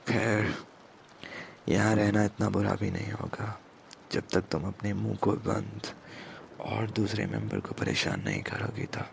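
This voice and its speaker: Man playing smug possessive crime boss, low-pitched, gravelly halting murmur, menacing, intimate ASMR roleplay, background hiss. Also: expressive, exaggerated voice. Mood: quietly threatening. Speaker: audio roleplay performer.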